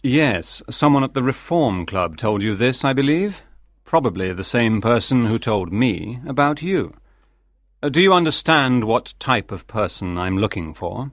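A man acting a reserved, precise gentleman. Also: medium pitch, animated, measured pace, dry recording, clean studio sound. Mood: cool, probing. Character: calm, exacting English gentleman of about forty. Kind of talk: dramatized audiobook acting.

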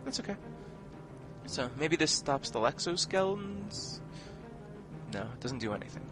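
Man, let's play commentary, very lively intonation, casual, sarcastic asides, game music behind. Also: on the high side, a little slow. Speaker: video game let's player. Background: video game music and sound effects.

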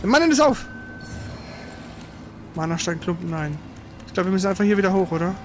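Male let's player, casual and excitable, colloquial live game commentary over clearly audible game noise. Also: sits high, fairly quick. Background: loud video game sound.

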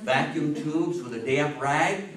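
A man in his sixties giving a public history talk, speaking in an emphatic, deliberate storytelling way with rhetorical questions. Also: on the high side. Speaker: guest lecturer on history. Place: indoor meeting room with seated audience. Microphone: distant camera microphone. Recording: echoey room, distant mic, faint noise.

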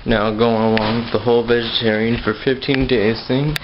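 A man's voice: mid-range voice, level tone, moderate pace, over music. A young man talking casually to a handheld camera as he vlogs, recorded at arm's length. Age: young adult. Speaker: vlogger. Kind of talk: casual vlog talk to camera. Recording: muffled, noisy handheld camera audio.

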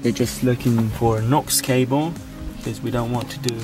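A man's voice: mid-range voice, normal speed, over music. A young man in his twenties chatting casually to a handheld camera in a travel vlog, sometimes tired. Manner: relaxed, chatty, talks straight to camera. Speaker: vlogger. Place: car and indoor rooms. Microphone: handheld camera at arm's length.

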